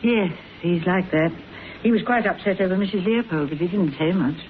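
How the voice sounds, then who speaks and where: A woman's voice: on the low side, moderate pace, close to an everyday voice. A woman acting a worried, genteel middle-aged British wife and mother in a radio drama, speaking politely and anxiously. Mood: defensive. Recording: thin narrowband radio recording, faint noise.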